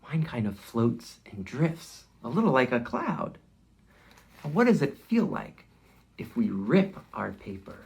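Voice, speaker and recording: Slow-paced man leading children's storytime. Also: mid-range voice, sing-song, vocal fry, darkish tone. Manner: simple guiding phrases and questions addressed to young children. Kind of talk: interactive children's read-along with movement prompts.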